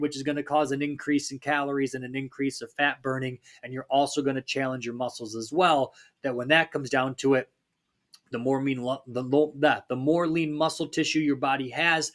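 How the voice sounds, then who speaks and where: A man hosting a fitness podcast, talking in a casual, upbeat pep-talk way, his voice a little raspy from a recent cold. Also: mid-range voice, steady tone, a little fast, fairly bright tone. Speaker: fitness coach and podcast host.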